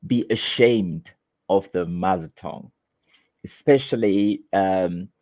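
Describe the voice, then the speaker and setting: Haitian Creole-speaking man, teacher guest; very low, slow, halting, dry close sound. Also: a little mellow, no echo. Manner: halting, many restarts and fillers. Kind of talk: conversational interview answers.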